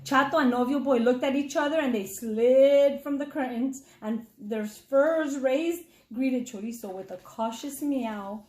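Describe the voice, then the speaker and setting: Casting: high-voiced woman teacher, expressive children's read-aloud narration, addresses the kids directly, echoey room sound. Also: unhurried, few pauses. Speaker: reading intervention teacher.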